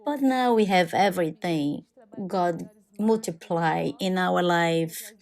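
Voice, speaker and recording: A woman voicing over a personal testimony in non-native English, speaking in short, deliberate phrases. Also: fairly low pitch, darkish tone. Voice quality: non-native English with halting, irregular grammar. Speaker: voice-over translator of a church testimony. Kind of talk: dubbed first-person testimony narration.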